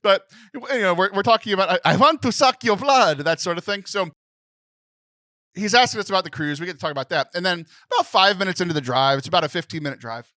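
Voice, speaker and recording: A young man co-hosting a podcast, telling a story in a lively, animated way. He laughs often and mimics other people's voices. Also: high voice, fast, no echo, studio quality. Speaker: podcast co-host.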